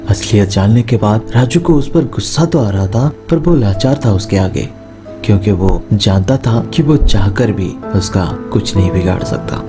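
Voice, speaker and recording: A man's voice: lowish voice, expressive, normal speed. A man narrating a scripted horror story as a storyteller. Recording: music behind the voice. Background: background music.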